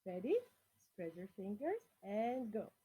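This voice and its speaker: A woman's voice: medium pitch, sing-song, unhurried, warm tone, breathy edge. A woman reading a rhyming picture book aloud expressively, speaking directly to young children. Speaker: children's story reader.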